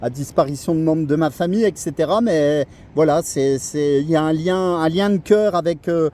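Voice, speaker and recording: A man's voice: on the high side, moderate pace, dark tone. A middle-aged man from France chatting casually as a radio operator, hesitating and searching for his words.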